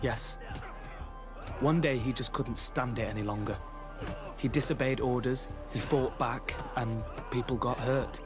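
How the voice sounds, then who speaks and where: An actor playing a young male guard recruit who is bitter, frightened and guilt-ridden, confiding gravely in video game dialogue. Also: mid-range voice, fairly even intonation, measured pace, music in the background, natural voice.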